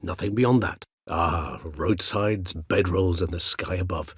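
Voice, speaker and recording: An old gentleman vampire from a video game, lowish n a bit husky, wordy, formal, wry philosopher type. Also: no echo, studio quality, heavily acted. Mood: measured, wry. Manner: eloquent, formal, measured, wordy. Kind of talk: video game character dialogue.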